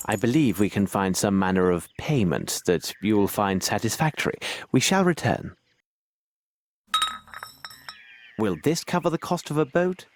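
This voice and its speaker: An actor playing a shrewd English gentleman detective in a video game, with a British accent and precise, courteous, commanding speech. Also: on the low side, animated, normal speed, slight background noise, natural voice. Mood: polite, insistent. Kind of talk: video game cutscene acting.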